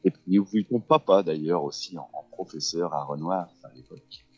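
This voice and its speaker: A man's voice: low voice, moderate pace, breathy edge. A middle-aged man from France, a guest on a radio interview, talking casually with many fillers and restarts. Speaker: guest, actor and theatre teacher. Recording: dull lo-fi radio recording.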